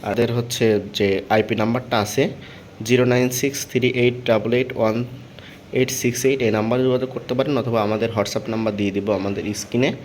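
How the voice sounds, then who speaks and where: A man's voice: mid-range voice, fairly even intonation, brisk, a little mellow. Bangladeshi salesman with noisy background. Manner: explanatory, repetitive, often checking listeners follow. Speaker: seller presenting a product. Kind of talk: informal product demonstration and sales pitch.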